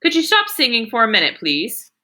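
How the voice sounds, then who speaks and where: Woman as a bright-voiced, patient male schoolteacher. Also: on the high side, wildly swooping pitch, fairly quick, full character voice. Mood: awkward, gentle. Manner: hesitant, coaxing questions.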